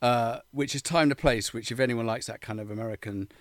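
A man's voice: medium pitch, fairly quick, no echo. An English man in his fifties chatting casually as a guest, telling rambling stories and swearing freely. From England. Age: 50s. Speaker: guest musician. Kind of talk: casual podcast chat.

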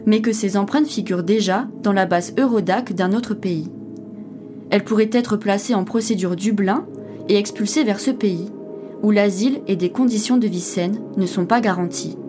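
A woman giving clear, scripted documentary narration that explains a procedure. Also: medium pitch, normal speed. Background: music.

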